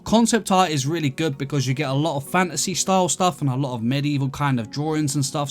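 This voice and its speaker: A man with an English accent and a slightly high-pitched voice talks casually through a gaming video. He explains things in a chatty way, speaking to his viewers as friends. Music plays behind his voice. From London, UK. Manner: chatty, explanatory, addresses listeners as guys. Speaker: gaming video creator and host. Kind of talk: casual gameplay commentary answering viewer questions.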